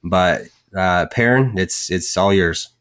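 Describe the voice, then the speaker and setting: A man hosting an online session, introducing a guest in a halting, casual way with many fillers. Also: deep voice, a bit bright. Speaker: webinar host introducing a guest.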